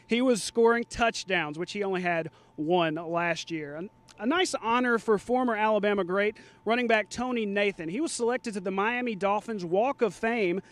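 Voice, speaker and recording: A male sports anchor with a high voice presents a live TV sportscast. He talks conversationally and jokily, as if chatting with fans. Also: a bit bright, no room sound.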